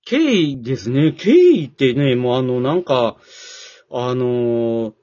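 A man with a Kansai accent chatting casually, halting and jokey, often putting himself down. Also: mid-range voice, expressive, warm tone, dry recording. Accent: Kansai Japanese. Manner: self-deprecating, halting, jokey. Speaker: amateur theatre troupe leader and scriptwriter. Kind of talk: casual chat. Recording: dull, dry lo-fi audio.

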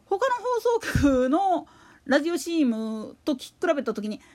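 A woman's voice: high voice, sing-song, normal speed, darkish tone. A woman giving casual solo commentary as a podcast host, rambling and opinionated, with emphatic repetition.

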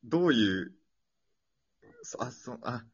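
A man appearing as a radio show guest, chatting casually and excitably in colloquial speech. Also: mid-range voice, a little slow, breathy edge. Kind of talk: casual after-talk chat. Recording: dull lo-fi online audio.

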